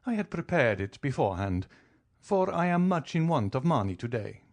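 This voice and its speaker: A man's voice: medium pitch, sing-song, moderate pace, voice pushed up. A man voicing a suave, wealthy count who speaks in a polished, teasing, formal way. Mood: cool, composed. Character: suave wealthy male count, coolly ironic.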